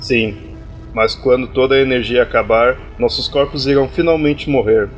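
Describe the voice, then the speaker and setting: A man's voice: medium pitch, normal speed, faint music behind, lightly acted. A man playing a curious, anxious immortal human mind in an audio drama, earnest and questioning. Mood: troubled.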